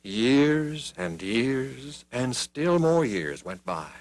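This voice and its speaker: Male narrator for scripted Bible stories: theatrical, deliberate pauses, expressive melody, music underneath. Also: medium pitch. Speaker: children's Bible story narrator. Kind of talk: scripted dramatic storytelling. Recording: music behind the voice.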